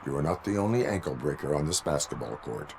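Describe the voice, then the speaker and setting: Very deep, stoic cyborg cop. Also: male voice, creaky, darkish tone, slight background noise. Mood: formal, firm. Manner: deliberate, formal, clipped, robotic delivery. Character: stoic cyborg policeman, stiff, grave and literal. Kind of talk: video game voice acting.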